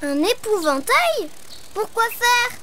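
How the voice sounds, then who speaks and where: A woman voicing an eager, excitable little childlike sidekick in a preschool cartoon, with singsong exclamations. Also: very high voice, takes it slowly, noisy background, exaggerated voice. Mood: puzzled.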